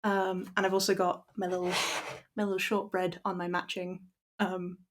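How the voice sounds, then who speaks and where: A young Irish woman with a croaky voice, chatting casually to her stream and then reading a classic story aloud in a steady, measured way. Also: fairly low pitch. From Ireland. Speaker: livestream book reader.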